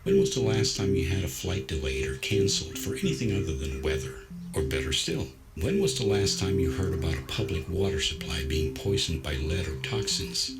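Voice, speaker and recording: A man playing a calm, self-assured android who reasons in a measured, nonchalant, gently wry way in a science fiction audio story. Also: low-pitched. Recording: music behind the voice.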